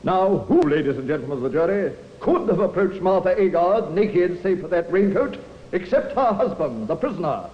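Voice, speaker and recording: Stern, reverberant radio prosecutor. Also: male voice, high voice, sing-song, moderate pace. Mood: accusing, insistent. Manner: oratorical, emphatic courtroom delivery. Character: stern male Crown prosecutor, forceful and insistent. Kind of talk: 1950s radio drama acting. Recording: muffled old radio recording, hissy, long hall reverb.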